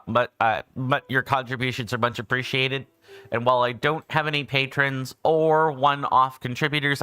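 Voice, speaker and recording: A man's voice: medium pitch, sharp tone, smooth. A man, just woken up, chatting casually as a game stream host, rambling with frequent self-corrections. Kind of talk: casual livestream chat.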